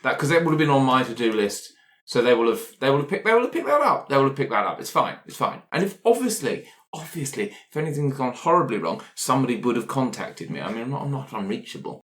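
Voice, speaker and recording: A man's voice: mid-range voice, sing-song, quick pace, a bit bright. A man improvising a fussy, anxious, polite otherworldly character in a roleplay, hesitant and rambling, often interrupting himself. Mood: flustered. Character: fussy, anxious, polite otherworldly being who loves his cat. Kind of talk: improvised roleplay for an actual-play podcast.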